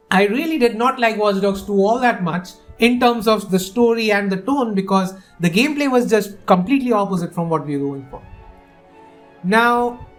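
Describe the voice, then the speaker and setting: A woman from India, hosting a casual, excitable and opinionated game preview and often restarting her sentences. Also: mid-range voice. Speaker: gaming video host.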